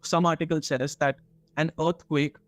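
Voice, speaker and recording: A man with an Indian accent telling a story conversationally, in a halting way with frequent asides. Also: a little high, level tone, no room sound.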